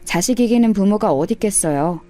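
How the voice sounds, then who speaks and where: A woman's voice: mid-range voice, lively intonation, brisk, warm tone, soft background music. A woman reading a scripted first-person life story in a radio story style. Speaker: storyteller narrator.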